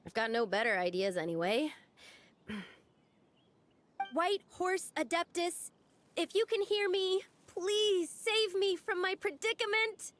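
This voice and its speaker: A woman's voice: very high-pitched, lively intonation, takes it slowly, a bit bright, slight background noise, exaggerated voice. A woman playing an earnest, self-doubting young village girl in a video game, with a hesitant manner. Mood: resigned, doubtful. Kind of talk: video game character acting.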